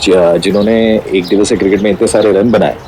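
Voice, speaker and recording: A man's voice: lowish voice, steady tone, fast, mellow. A man giving live cricket commentary, heard over a radio. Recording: radio broadcast played aloud, faint noise.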